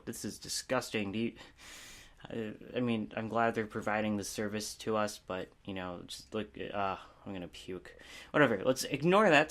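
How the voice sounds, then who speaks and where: A man's voice: mid-range voice, fairly bright tone. A man giving a casual, unscripted review as a video host, rambling informally with a self-deprecating manner.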